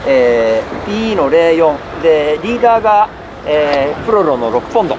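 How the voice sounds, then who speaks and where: A man's voice: fairly high pitch, expressive, moderate pace. A man chatting casually as an angler, a little hesitant as he searches for details. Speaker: angler, guest on fishing show. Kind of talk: casual chat. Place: open boat at night, outdoors. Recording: noisy outdoor boat recording, wind. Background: boat and wind noise.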